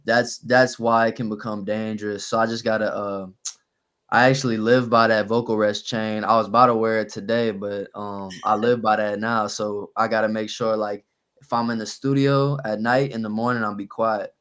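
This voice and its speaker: A young rapper from New Orleans with a naturally raspy, slightly low voice. He talks in a loose, unhurried way as a podcast guest, with plenty of ums and uhs as he tells his stories. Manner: relaxed storytelling with fillers. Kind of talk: casual podcast interview.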